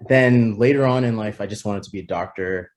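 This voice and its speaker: A man in his thirties answers interview questions in a relaxed, matter-of-fact way. He often restarts his sentences as he works out what to say. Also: on the low side, a little fast. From Toronto area, Canada. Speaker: guest, business founder. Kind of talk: casual interview.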